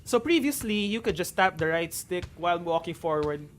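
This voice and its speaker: A man in his thirties with a Filipino accent, talking through a game tutorial and chatting with viewers on a live stream, in a relaxed, chatty way with many fillers. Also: sits high, moderate pace, a little hiss or hum. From Philippines. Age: 30s. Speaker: gaming streamer.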